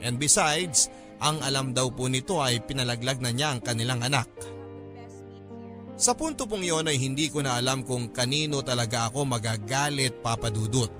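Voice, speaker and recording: A man's voice: mid-range voice, moderate pace, music in the background, natural voice. A man performing an earnest young student's story as scripted radio drama narration, polite and confiding. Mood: earnest, troubled. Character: earnest, worried young student friend telling their story.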